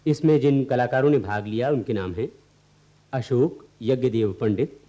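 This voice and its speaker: A man's voice: mid-range voice, normal speed, dark tone. A man reading a formal, scripted announcement as a radio announcer. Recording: muffled old radio recording.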